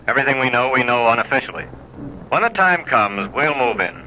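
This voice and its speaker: An actor playing a clipped, matter-of-fact police lieutenant in a 1940s radio crime drama. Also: male voice, mid-range voice, big pitch swings, fairly quick, lightly acted. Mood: businesslike. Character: brisk, measured male police lieutenant. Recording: muffled, noisy old radio recording.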